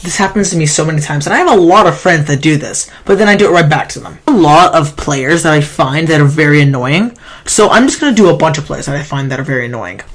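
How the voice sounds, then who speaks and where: A man's voice: on the high side, fast talker, a bit bright. A man with a sore throat and a nasal voice, rambling through a gaming countdown as a YouTuber, often correcting himself mid-sentence. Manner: rambling, self-correcting, complaining. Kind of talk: casual countdown commentary.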